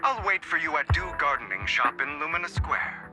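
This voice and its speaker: An actor voicing a courteous, polished, secretive gentleman in a video game, speaking formally and with flattering politeness. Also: a little high, moderate pace, music in the background. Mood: courteous, reassuring. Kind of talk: scripted video game dialogue.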